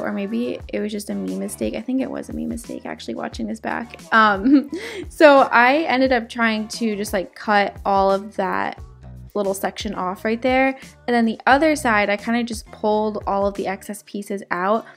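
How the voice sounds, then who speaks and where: Chatty woman with a gravelly voice. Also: medium pitch, lively intonation, moderate pace, faint music behind. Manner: chatty, upbeat, fillers. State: sick, voice cracking. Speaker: DIY vlogger. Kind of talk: casual crafting voice-over. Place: home, indoors.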